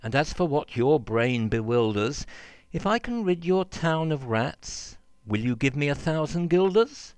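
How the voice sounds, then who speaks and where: A man voicing a tall, mysterious piper who is courteous, quietly confident and persuasive in a storybook verse tale. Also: on the high side, expressive, unhurried, smooth, no room sound.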